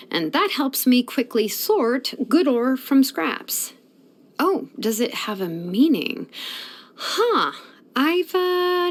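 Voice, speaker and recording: An actress playing a sleepy, laid-back young woman blacksmith in a fantasy video game, speaking in a casual, unhurried way. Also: fairly high pitch. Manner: unhurried, casual, hesitant drawl with sighs. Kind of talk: English video game voice acting.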